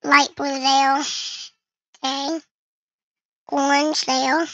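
A very bright, high voice run through a voice changer gives casual game commentary. It is creaky and sounds dry and close, chatting to viewers and thinking aloud while playing. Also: level tone, drawn-out words, no echo, muffled recording. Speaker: gaming video creator.

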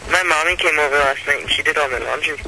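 Higher voiced young guy, tired, casual chat over speakerphone, noisy outside. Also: quick pace, vocal fry. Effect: phone speaker. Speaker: friend on a phone call. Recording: noisy speakerphone call picked up outdoors.

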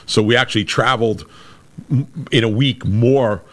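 A middle-aged man with a very deep voice, chatting casually as a podcast host over a video call. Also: moderate pace, fairly bright tone. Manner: chatty, with restarts and laughs. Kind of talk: casual interview chat. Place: room with a brick wall.

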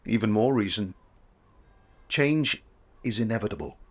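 A man playing a gentle, encouraging mentor. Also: on the low side, animated, a little slow. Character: calm male mentor urging a young woman on.

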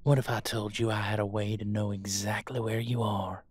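A man voicing a proud, disdainful old vampire in an audio roleplay, speaking breathily in formal, measured phrases. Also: on the low side, little pitch movement, a little mellow, husky, no echo. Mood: coldly menacing.

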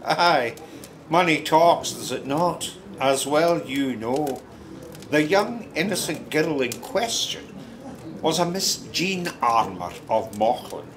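An actor playing a wry, knowing Scottish narrator in a radio drama, conversational with hesitant asides. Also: male voice, on the high side, lively intonation, takes it slowly, crowd noise.

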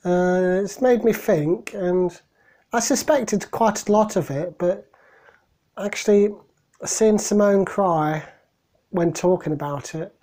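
A middle-aged man, hoarse from a cold, talking haltingly and emotionally into a webcam in a small room at home. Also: sits high, warm tone. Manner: halting, emotional, many restarts. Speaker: vlogger. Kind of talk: personal video diary. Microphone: webcam at desk.

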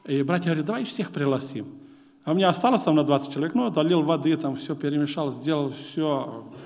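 Ukrainian-accented preacher in a reverberant church. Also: male voice, on the high side, quick pace. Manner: animated, gesturing, voice rising and falling. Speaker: guest preacher. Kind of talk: sermon with personal stories. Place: large church hall with congregation. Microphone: lectern mic.

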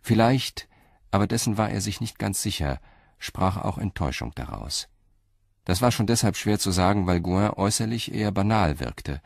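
A man narrating a crime radio play in a measured, articulate, literary style. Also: deep voice, vocal fry, a little mellow, no echo, clean studio sound.

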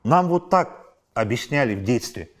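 A man in his forties, a film director answering interview questions in an animated way, stacking examples with rising emphasis, recorded seated in a high-rise apartment at night. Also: moderate pace. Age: 40s.

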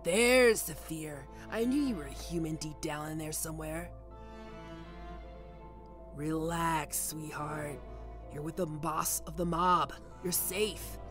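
Casting: male actor as cocky, commanding mob boss, teasingly protective, deliberate slow delivery, roleplay drama over a music bed. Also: fairly high pitch. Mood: taunting. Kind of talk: ASMR roleplay audio drama. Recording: loud music bed under voice.

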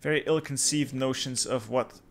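A man's voice: medium pitch, steady tone, moderate pace, gravelly. A young man from Flanders whose first language is Dutch, giving casual, offhand commentary while playing a strategy game and chatting with viewers. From Flanders, Belgium. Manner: muttering to himself while playing, dry and offhand. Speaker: game streamer. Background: faint background music.

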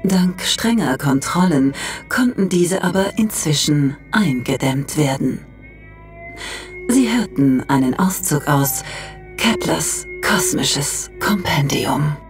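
A woman playing the matter-of-fact narrator of a cosmic encyclopedia, reading measured mock-documentary narration. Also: sits low, expressive, soft background music, heavily acted. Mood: informative, measured. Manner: measured, informative.

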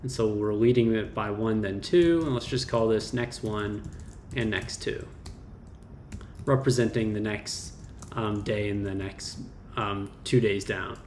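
A man's voice: lowish voice, measured pace, a little mellow. A young man casually walking through a coding problem, thinking aloud with fillers and small self-corrections as he types. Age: young adult. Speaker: coding tutor. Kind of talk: casual screen-share coding walkthrough. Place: small indoor room. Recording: roomy echo, faint keyboard noise.

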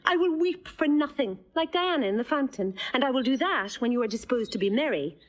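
A woman's voice: sits high, lively intonation. A woman acting a witty young woman in love, teasing and playful in a stage drama. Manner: playful, quick-witted, teasing. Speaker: actor playing Rosalind.

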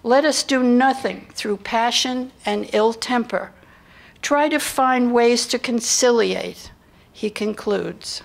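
A woman's voice: fairly high pitch, slow pace, creaky. An older woman reading scripted historical narration into a lectern microphone. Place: indoor hall with seated audience.

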